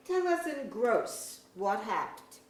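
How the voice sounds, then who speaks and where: Woman as an imperious high-voiced magistrate. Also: big pitch swings, slow. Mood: deferential. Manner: commanding, declamatory. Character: imperious magistrate barking orders in archaic English. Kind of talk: staged reading of pseudo-Elizabethan verse drama. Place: museum auditorium, live reading. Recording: echoey auditorium with background hiss.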